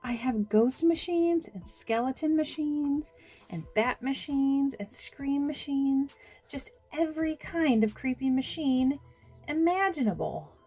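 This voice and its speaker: A woman voicing a shy, timid little man with a squeaky voice who stammers and hesitates, in a storybook. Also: animated, measured pace, warm tone, soft background music.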